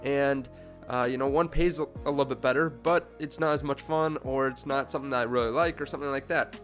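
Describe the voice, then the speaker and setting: A man's voice: mid-range voice, steady tone, fairly quick, soft background music. A congested young man in his twenties hosting a finance channel, talking casually to camera with many fillers and restarts. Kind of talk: casual direct-to-camera talk.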